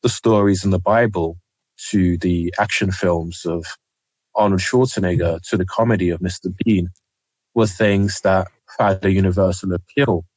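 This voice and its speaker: A young man from Nigeria, a journalist guest, talking reflectively in an interview with frequent pauses and ums. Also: low voice, steady tone, dry recording, low-quality recording. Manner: hesitant, many ums, soft-spoken.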